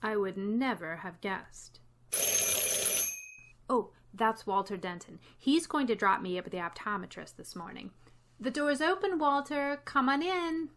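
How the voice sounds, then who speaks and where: A woman's voice: on the high side, animated, unhurried. A woman playing a wry, long-suffering schoolteacher in old-time radio comedy, with a dry, wisecracking delivery. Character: wry, long-suffering female high school English teacher. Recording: noticeable noise with clicks.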